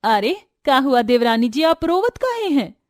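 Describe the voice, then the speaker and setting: A woman acts out a humble, sweet village daughter-in-law from a family storybook. The voice is very high and rustic, with a Bhojpuri accent and an eager, deferential manner, recorded dry. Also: very animated, moderate pace, no room sound. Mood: caring. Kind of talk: Hindi family storybook voice acting.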